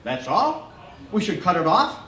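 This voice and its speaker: A man's voice: high-pitched, big pitch swings, unhurried, pushed and bright, full character voice. A man voicing a brusque, teasing male doctor who fires off mock-grumpy jokes in a storybook reading. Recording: roomy distant live-reading sound.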